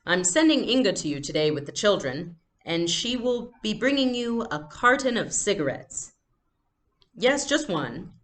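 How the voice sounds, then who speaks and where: A woman voicing a protective, decisive storybook father who speaks earnestly and deliberately. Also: mid-range voice, very animated, pitched above the performer's own voice. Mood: feigned cheer.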